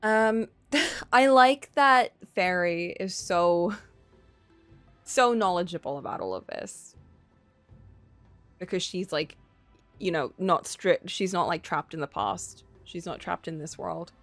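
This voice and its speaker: Chatty woman streamer over soft music. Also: fairly low pitch, lively intonation, slow pace. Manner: chatty, thinking aloud. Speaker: streamer playing a visual novel. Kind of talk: casual livestream chat and reading game text aloud.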